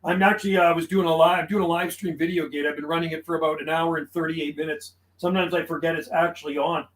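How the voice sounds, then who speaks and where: A man's voice: on the high side, level tone, quick pace, a little hiss or hum, poor audio. A man chatting casually and making radio calls on a live stream, easygoing and friendly, often saying man and buddy. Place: radio room inside his house.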